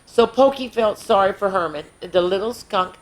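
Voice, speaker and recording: Woman, children's storyteller and host, medium pitch, animated read-aloud with asides. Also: normal speed, low-quality recording. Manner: expressive, with asides to young listeners.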